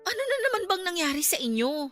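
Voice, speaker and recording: High, bright, worried young Filipina girlfriend. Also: sing-song, fast talker, heavily acted. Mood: concerned, scolding. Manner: emotional, scolding, protective. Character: worried, firm young woman, girlfriend of a drinker. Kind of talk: Tagalog radio drama acting. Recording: dramatic music bed behind the voice.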